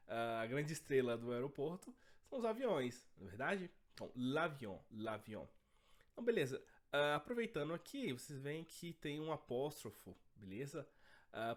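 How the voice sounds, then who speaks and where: A man with a cold, his voice a bit hoarse, teaching an online French lesson and often checking in with his students. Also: mid-range voice.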